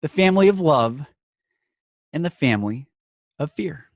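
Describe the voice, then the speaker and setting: A man hosting a podcast, speaking in an earnest, emphatic inspirational monologue broken into short phrases with dramatic pauses. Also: a little high, animated, dry recording.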